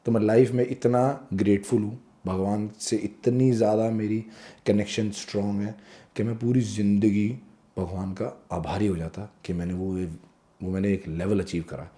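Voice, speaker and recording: A man in his thirties, a podcast guest, telling stories in a casual, animated way, swearing freely and acting out the people in them. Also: fairly low pitch, normal speed. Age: 30s. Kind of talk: casual storytelling, mixing Hindi and English. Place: podcast studio.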